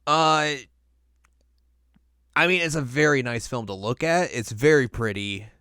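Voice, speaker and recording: A man's voice: medium pitch, measured pace, bright, forceful tone, smooth, dry recording, clean studio sound. A man co-hosting a podcast in casual conversation, informal and wry, repeating words for emphasis. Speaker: podcast co-host. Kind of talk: casual chat, film ranking discussion.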